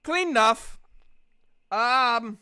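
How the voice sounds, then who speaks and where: Slow, bright-voiced woman game master. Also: on the high side, animated, clear, resonant tone. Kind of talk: casual tabletop game narration.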